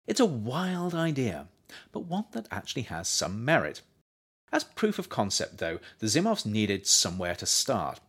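A man reading his own nonfiction book aloud as an audiobook, in a measured, expressive way. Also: on the low side, husky. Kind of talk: audiobook narration.

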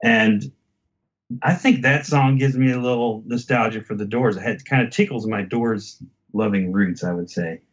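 Guy with a lowish voice doing a casual music podcast, kinda thinking out loud, slightly muffled lo-fi sound. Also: moderate pace. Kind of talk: casual album discussion.

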